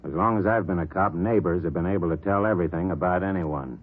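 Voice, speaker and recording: An actor playing a skeptical American homicide captain in an old radio drama, terse and authoritative. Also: male voice, low-pitched, quick pace. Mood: skeptical, commanding. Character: gruff, skeptical male police homicide captain. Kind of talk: 1950s radio crime drama acting. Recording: tinny old-time radio recording.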